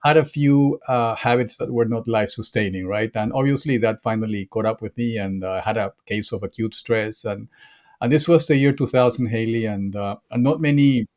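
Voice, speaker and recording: A man with a Peruvian accent, a podcast guest talking in a lively, playful way with many fillers. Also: mid-range voice, fairly quick. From Peru. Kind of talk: casual interview chat.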